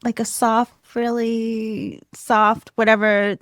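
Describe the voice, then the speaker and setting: Soft-voiced woman, candid and laughing. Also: a little high, slow pace, no echo, studio quality. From United States. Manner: laughs often, animated and self-deprecating. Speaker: podcast guest. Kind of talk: candid conversational storytelling.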